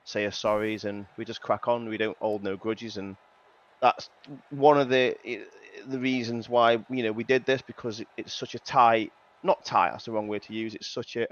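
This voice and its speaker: A man's voice: mid-range voice, dull audio. A man organising a sim racing league, talking earnestly and at length about its community. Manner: earnest, rambling. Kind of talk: casual chat.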